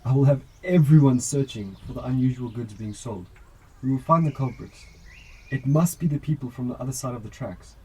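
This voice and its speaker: A man's voice: medium pitch, a little fast, darkish tone, husky, close to an everyday voice, poor audio. A man acting a caring, dutiful police officer in an amateur radio drama. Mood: reassuring, serious.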